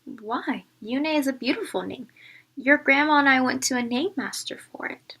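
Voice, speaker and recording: A caring, firm Korean mother in a storybook, read by a woman. She speaks earnestly and gently admonishes, proud of where she comes from, in a fairly high female voice. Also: normal speed, husky, heavily acted. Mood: earnest, reassuring.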